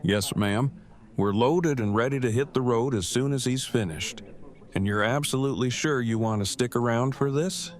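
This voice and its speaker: A man playing a gentle, devoted partner who speaks in precise, verbose, formal phrases in an audio drama. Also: mid-range voice, moderate pace, close to an everyday voice. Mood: attentive.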